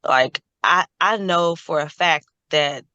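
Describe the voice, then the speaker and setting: A hoarse co-host talks in a bright, sharp voice that still comes through smooth and clear. The voice sounds dry and close, with no room around it. The talk is conversational and full of asides. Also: mid-range voice, takes it slowly, no echo, highs cut off. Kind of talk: casual interview talk.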